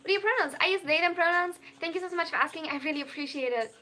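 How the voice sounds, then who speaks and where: A young adult, reading viewer chat aloud on a livestream and answering at length. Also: female voice, extremely high pitch, rapid-fire, sharp tone. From Germany. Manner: reads viewer chat aloud and answers, tends to over-explain. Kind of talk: casual live-stream chat.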